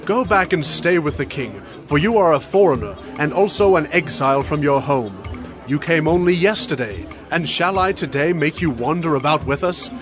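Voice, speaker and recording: A man's voice: on the high side, expressive, moderate pace, music in the background, natural voice. An actor playing an authoritative king of ancient Israel, commanding and declamatory in a dramatized audio Bible. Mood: concerned, insistent.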